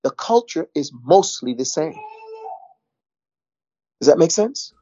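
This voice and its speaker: A man's voice: fairly high pitch, sing-song, slow, dark tone, no room sound, highs cut off. A middle-aged man, talking casually in a rambling way, repeating words and saying "you know" a lot. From New York. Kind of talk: casual conversation.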